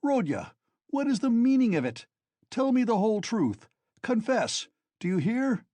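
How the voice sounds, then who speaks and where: A man reading an audiobook, voicing a loud, hot-tempered young man who scolds and shouts. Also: extremely high pitch, very animated, measured pace, no room sound, pitched above the performer's own voice, full character voice. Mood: furious scolding.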